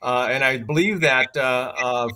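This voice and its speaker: A man on a video call answering a panel question in a hesitant, halting way. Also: mid-range voice, bright, forceful tone, slight background noise.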